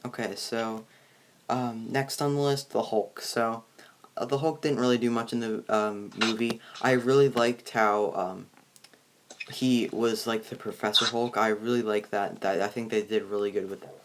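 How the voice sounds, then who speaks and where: A man hosting a movie review in casual chat, halting and often restarting his sentences. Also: lowish voice, steady tone, a little hiss or hum. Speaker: host of a movie review show.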